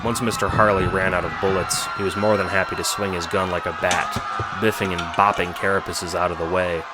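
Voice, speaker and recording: A man's voice: sits low, level tone, fairly quick, over loud music, rough recording. A man narrating a scripted audio drama in the second person as its storyteller.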